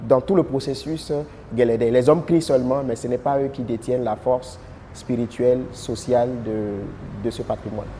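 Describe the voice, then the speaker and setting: A man with a dark, mellow voice explains the research in a steady, fluent way. His pitch sits in the middle range. The sound carries a long hall reverb, with a faint ambient hum underneath. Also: normal speed. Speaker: artistic collaborator. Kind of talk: interview testimony.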